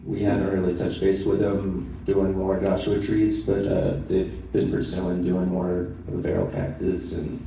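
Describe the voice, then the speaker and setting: A man's voice: low voice, monotone, unhurried, gravelly, few pauses, dark tone. A man chairing a town board meeting, reading procedural items plainly with frequent fillers. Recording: echoey hall, far mic, faint noise.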